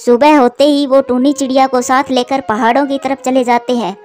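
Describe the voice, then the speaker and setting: A woman's voice: sits high, steady tone, normal speed, a little mellow, soft background music. A woman narrating a scripted cartoon story for children.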